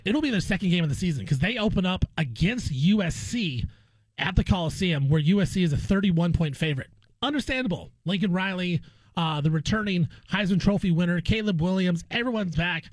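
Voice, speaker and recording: A man's voice: high-pitched, lively intonation, fairly quick, no echo, poor audio. A man hosting a sports talk show, chatting casually with joking, self-deprecating asides. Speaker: sports radio host.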